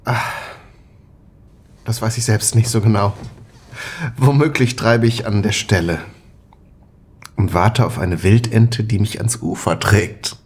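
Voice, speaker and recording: Guy acting burdened spy drama man, husky voice, really slow halting delivery, reflective. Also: fairly low pitch. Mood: playful. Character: Yulian, brooding man in a 1970s spy drama, evasive, burdened. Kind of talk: intimate audio drama acting.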